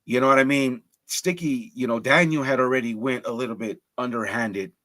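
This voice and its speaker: A man's voice: mid-range voice, fairly quick, a bit bright, no room sound. A man from Los Angeles talking candidly on a livestream panel, slangy and full of restarts. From Los Angeles, United States. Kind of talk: casual chat.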